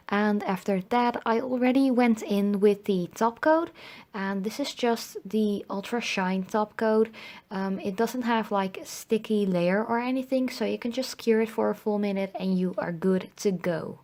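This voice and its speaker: A young woman with a mid-range voice, a little hoarse and cracky from a recent illness. She talks in a relaxed, chatty way, filling her sentences with "um" and "like" as she explains each step. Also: medium pitch. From Netherlands. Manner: chatty, lots of fillers like "um" and "like". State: slightly hoarse, recovering from illness. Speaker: nail art video host. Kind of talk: casual voice-over tutorial.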